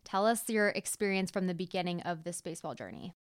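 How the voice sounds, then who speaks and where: A woman's voice: lowish voice, animated, brisk, clean tone. A woman co-hosting a podcast, talking to the listener in a friendly, casual way. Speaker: podcast co-host.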